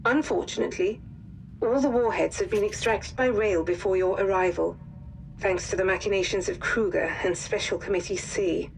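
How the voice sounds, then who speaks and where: An actress playing a composed intelligence handler in a war game, delivering a formal, measured, serious mission briefing. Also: female voice, medium pitch, vocal fry, slight background noise, natural voice, rough recording. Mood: urgent, formal.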